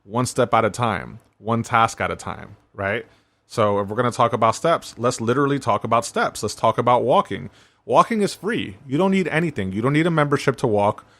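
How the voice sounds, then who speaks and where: A man's voice: medium pitch, lively intonation, fairly quick, fairly bright tone, no room sound. A man hosting a self-help podcast, talking in a laid-back, conversational way and often checking in with "right?". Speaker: podcast host.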